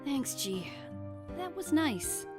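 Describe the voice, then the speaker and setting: A woman's voice: high voice, very animated, takes it slowly, over music, heavily acted. A woman voicing an earnest, anxious penguin agent in a game story, caring, apologetic and encouraging. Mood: earnest, concerned. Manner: stammering, encouraging.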